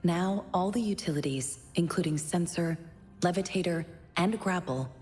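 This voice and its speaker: A woman playing a calm, polite, formal young bodyguard in a video game, speaking in a measured way. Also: lowish voice, big-room sound, faint music behind, natural voice. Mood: businesslike. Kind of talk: scripted video game dialogue.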